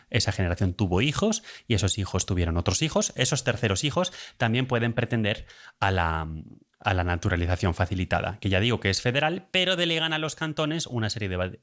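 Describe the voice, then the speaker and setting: Man, accent from Spain, low voice, informal explainer host who talks conversationally and feels his way through each point. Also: fairly bright tone. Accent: Spanish from Spain. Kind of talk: casual informal explainer monologue.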